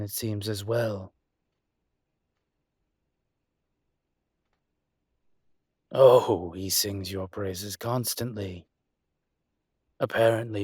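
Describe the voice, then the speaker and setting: A man playing a suave, teasing dragon mafia boss in a scripted romantic audio roleplay, speaking deliberately. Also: lowish voice, no echo, clean studio sound. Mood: amused, boastful.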